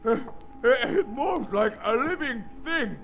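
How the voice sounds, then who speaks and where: A muffled old radio recording of a servile, easily frightened brute of a henchman. His voice is high and creaky, and he speaks slowly in halting stammers. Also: animated, exaggerated voice. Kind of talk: 1930s radio drama acting. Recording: dull, lo-fi 1930s radio recording.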